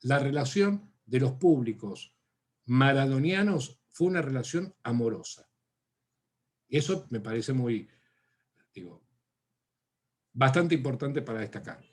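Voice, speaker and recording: A man's voice: medium pitch, lively intonation, measured pace, pauses often. A man with an Argentine accent answering questions in an academic seminar, thoughtful and full of fillers and restarts. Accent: Argentine Spanish. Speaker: academic speaker.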